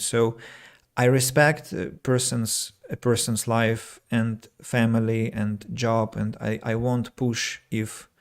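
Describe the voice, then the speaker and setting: A man from Russia, an English teacher talking through his thoughts on a solo podcast, reading out comments and restarting phrases as he thinks. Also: fairly low pitch. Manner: reflective, reads listener comments aloud, frequent fillers and restarts.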